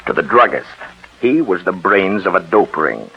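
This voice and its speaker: An actor playing a shrewd, steady detective in a 1940s radio drama, assured and insistent as he explains. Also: deep voice, moderate pace, exaggerated voice. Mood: assured, explanatory. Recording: muffled, husky-sounding 1940s radio recording.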